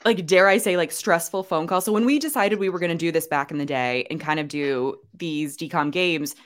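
Young woman, podcast co-host, casual chat, lowish voice, loose and chatty. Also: fairly quick. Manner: chatty, lots of fillers and restarts.

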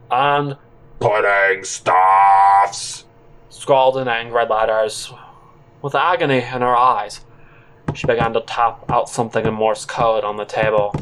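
A man narrates a comic audio drama in the first person. He takes his time, speaking slowly in a medium-pitched voice, with a halting and theatrical delivery. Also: clear, resonant tone, rough recording. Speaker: narrator and counter worker character.

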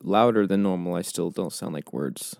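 Soft-spoken mumbly male podcast host. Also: low voice, moderate pace, vocal fry, dry recording, studio quality. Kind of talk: casual chat.

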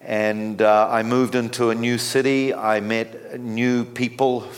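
A man's voice: mid-range voice, flat delivery. A man in his fifties with a New Zealand accent, giving a sermon as a guest speaker and often stopping on fillers and restarts. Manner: halting, with many fillers and restarts.

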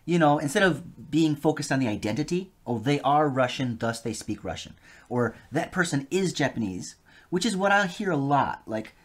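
A man's voice: a little high, expressive, normal speed. A man, a guest on an interview show, talking casually and with enthusiasm, laughing easily. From United States. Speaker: guest, polyglot.

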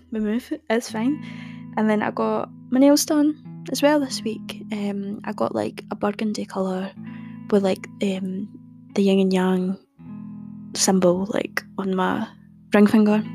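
A young woman hosts her podcast in a soft, calming voice with a gravelly, fry-laden edge. She chats loosely and rambles, dotting her talk with fillers, over faint music in the background. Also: mid-range voice. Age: young adult. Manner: chatty, rambling, many "um" and "like" fillers. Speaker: podcast host. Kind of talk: casual podcast chat.

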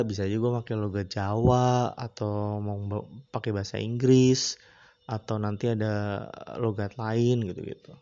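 A man hosting a casual solo podcast, talking in a relaxed, rambling, slangy way with frequent hesitations. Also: medium pitch, highs cut off. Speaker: podcast host.

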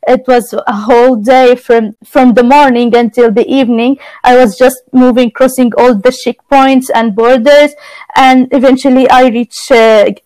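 A woman's voice: fairly high pitch, steady tone, a little slow, darkish tone, no echo, poor audio. A young woman from Gaza with a Palestinian Arabic accent, answering interview questions conversationally as a podcast guest. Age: young adult. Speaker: podcast guest, exchange student.

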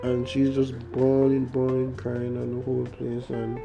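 A young man with a Jamaican accent talks slowly through a casual podcast monologue, his voice gravelly and full of fry. He rambles and restarts as he goes, and guitar music plays clearly behind him. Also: mid-range voice, monotone, highs cut off. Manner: rambling, hesitant, many fillers and restarts. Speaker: podcast host.

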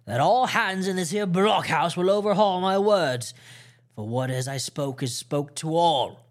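A cunning old one-legged pirate, put on by a male narrator blusters in a rough seafaring dialect. The voice sits higher and louder than a plain reading voice, bargaining and threatening by turns in a storybook reading. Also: moderate pace, natural voice. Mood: wheedling. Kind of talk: audiobook character voice.

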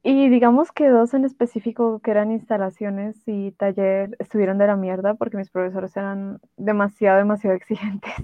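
A young woman talks casually as a podcast co-host at a medium pitch. Her voice is very dry, with no room around it, and the audio is slightly dull. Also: steady tone, brisk, hardly any pauses, no room sound. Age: young adult.

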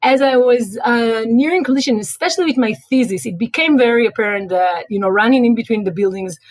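A woman's voice: fairly high pitch, brisk, dry recording. A middle-aged woman with an Israeli accent, a guest coach talking casually in an interview, thinking aloud with many fillers and restarts. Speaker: guest, writing and life coach.

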